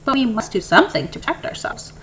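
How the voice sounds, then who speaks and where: An earnest, practical mouse wife from a children's storybook, played by a woman. Her delivery is lively and expressive, with wide swings in pitch. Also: quick pace, low-quality recording. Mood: concerned.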